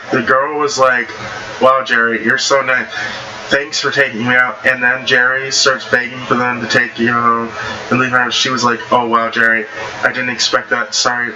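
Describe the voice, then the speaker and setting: A man casually reading online stories aloud, stumbling over words at times and adding blunt, slangy commentary, with noisy background ambience. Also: mid-range voice. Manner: blunt, slangy, informal reactions. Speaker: online story reader and commentator.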